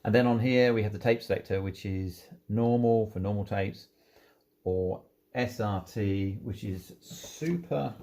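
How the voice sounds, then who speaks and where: Male presenter for an informal equipment walkthrough: creaky, soft-edged tone, unhurried step-by-step delivery. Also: lowish voice, a little hiss or hum. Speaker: presenter demonstrating audio equipment. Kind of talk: informal hands-on product walkthrough.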